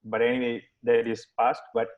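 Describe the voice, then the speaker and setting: A man's voice: medium pitch, completely flat delivery, normal speed. Rambling man hosting a video call. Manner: rambling, many fillers and restarts. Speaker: webinar host. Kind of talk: casual online webinar talk. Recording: dull video-call audio.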